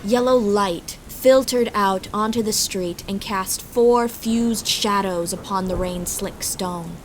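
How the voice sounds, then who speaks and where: A woman's voice: mid-range voice, takes it slowly, slight background noise, rough recording. A woman reading scripted fantasy story narration as the narrator. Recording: steady rain and storm ambience behind.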